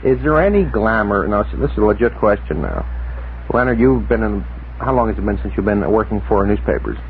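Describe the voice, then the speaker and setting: A rather low-pitched man hosts an unscripted radio talk show. He speaks quickly and directly, putting questions, on an old recording with faint noise. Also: animated, gravelly. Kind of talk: casual unscripted interviewing. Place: radio studio. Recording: noisy tinny 1960s radio broadcast recording.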